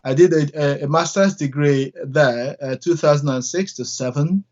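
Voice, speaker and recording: A middle-aged man from Nigeria, a church minister, telling his story in an interview with many pauses and fillers. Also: fairly high pitch, darkish tone, dull audio.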